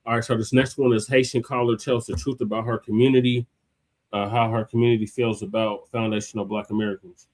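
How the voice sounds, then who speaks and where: A man's voice: medium pitch, flat delivery, fast talker, a little mellow. A man hosting a live stream, laid-back, with frequent hesitations. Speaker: host.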